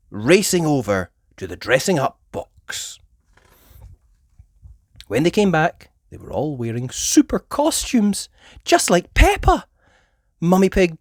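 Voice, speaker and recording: Woman reading a kids story out loud, really animated, takes her time, dry close sound. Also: deep voice, dry recording. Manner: expressive, emphatic storytelling. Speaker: storybook reader. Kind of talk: animated scripted children's story narration.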